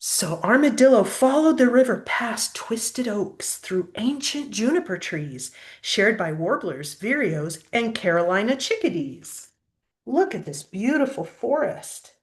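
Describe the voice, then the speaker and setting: An older woman reading a picture book aloud as a grandmother, pausing to prompt a child with gentle questions. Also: fairly high pitch, big pitch swings. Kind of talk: storybook read-aloud with asides to a child.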